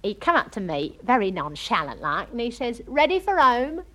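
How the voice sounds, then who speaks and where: A woman's voice: on the high side, big pitch swings, normal speed, fairly bright tone. An actress playing a chatty, romantic young English woman with a working-class accent, gossiping in a comic monologue. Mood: wry, animated. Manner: gossipy, dramatic storytelling, quoting others.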